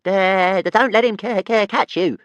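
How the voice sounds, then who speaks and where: Tiny stammering shrieky dragon. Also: male voice, high voice, normal speed, a bit bright, smooth, dry recording, voice pushed up. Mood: nervous. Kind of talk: audiobook character voice.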